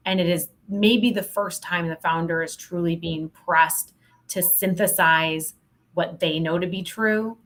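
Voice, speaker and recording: Candid, slightly low-voiced woman in conversation. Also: fairly even intonation, a little slow, fairly bright tone. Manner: candid, emphatic, informal with frequent "like". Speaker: podcast guest, sales consultant. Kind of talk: conversational interview storytelling.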